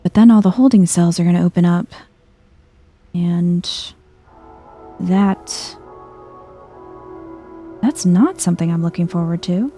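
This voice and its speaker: A soft-spoken woman giving a chill, quiet ASMR-style commentary as she plays a video game. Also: lowish voice, measured pace, pauses often, soft background music. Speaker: gaming video host.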